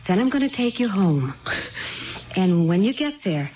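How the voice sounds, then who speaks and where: An actress playing a composed, authoritative therapist in a 1970s radio drama, reassuring and measured. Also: female voice, medium pitch, very animated, highs cut off, slight background noise, natural voice. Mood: reassuring, brisk. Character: calm, firm woman therapist taking charge.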